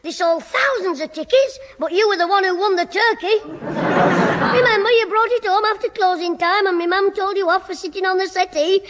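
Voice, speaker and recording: A man's voice: very high voice, moderate pace, fairly bright tone, some chatter behind. A man playing a cheeky, mischievous Northern English schoolboy who wisecracks his way through a 1960s radio sitcom. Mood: eager, cheeky. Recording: old hissy, reverberant 1960s radio recording.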